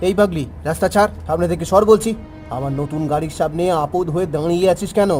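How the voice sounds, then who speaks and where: A man's voice: high-pitched, fast, close to an everyday voice. A man playing an arrogant, cruel young son of a village chief in a melodramatic cartoon, with a scornful, bullying manner. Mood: contemptuous anger. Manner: scornful, bullying, shouting down at others. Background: loud music.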